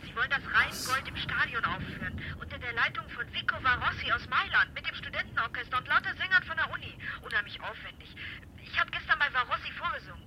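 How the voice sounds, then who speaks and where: A woman's voice: high-pitched, fast talker, noisy background. An actress playing an eager, chatty young female student singer in a radio play phone call. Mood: excited, teasing. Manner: eager, animated. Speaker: student and mezzo-soprano singer.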